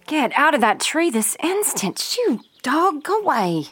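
A woman's voice: high voice, big pitch swings, unhurried, a bit bright, full character voice. An actress playing a dutiful young mother with a Southern US accent, polite and coaxing, in an old-time radio style drama. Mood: worried, firm.

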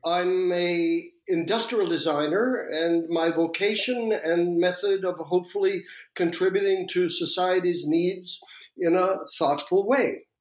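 Older Swedish-Canadian designer, higher voice, reflective and halting, roomy sound. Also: male voice, soft background music. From Sweden and Canada. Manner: measured, with frequent pauses and uhs. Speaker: guest, industrial designer. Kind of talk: reflective interview talk.

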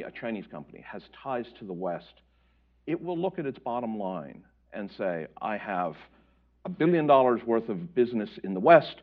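A man speaking as a panelist in a policy discussion, explaining his points in an animated way. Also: mid-range voice. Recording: dull lo-fi audio.

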